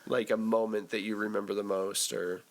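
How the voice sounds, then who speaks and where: A man hosting a casual debrief conversation, asking questions in a halting way and rephrasing as he goes. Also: lowish voice, little pitch movement, slight background noise. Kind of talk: casual interview chat.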